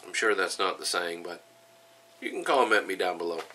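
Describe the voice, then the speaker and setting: A man's voice: low voice, sing-song, moderate pace, pushed and bright, far from the mic. Middle-aged guy with a stuffy nose, chatty home brew vlog, sounds a bit off-mic with light hiss. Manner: chatty, upbeat, with frequent ums and uhs. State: stuffy nose from a head cold. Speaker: home brewing vlogger. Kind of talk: casual how-to and tasting vlog. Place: home kitchen, then small room at home.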